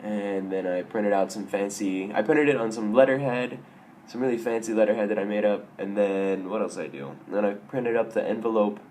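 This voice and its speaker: A young man with a raspy, hoarse voice from a sore throat, telling stories in a casual, self-mocking vlog. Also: on the low side, a little fast, a little mellow, a little hiss or hum. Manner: self-mocking, wry, rambling with restarts. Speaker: vlogger.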